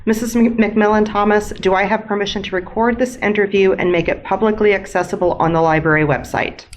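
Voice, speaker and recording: A woman interviewer reading a formal introduction, then asking questions in a polite, measured tone. Her voice sits at an ordinary middle pitch, with a faint background hum in the room. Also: fairly even intonation. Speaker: oral history interviewer. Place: library room.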